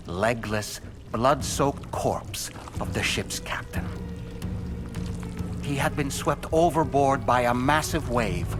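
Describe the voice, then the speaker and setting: An actor playing a solemn storyteller who tells an eerie sea tale in a measured, deliberate way with suspenseful pauses. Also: male voice, medium pitch. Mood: ominous. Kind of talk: dramatic scripted storytelling.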